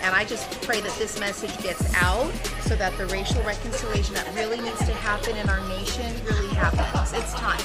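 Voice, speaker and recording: A woman's voice: medium pitch, fairly even intonation, brisk, few pauses. A middle-aged woman with an American accent, a talk show host, answering in a red-carpet interview. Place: red carpet press wall at a gala. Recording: loud music behind.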